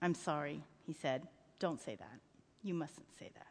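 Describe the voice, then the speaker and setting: A woman's voice: fairly low pitch, animated, moderate pace, voice pushed down. A woman voicing a weary, stern, protective father in a dramatic literary reading, terse and urgent. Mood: hushed, sorry. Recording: reverberant hall.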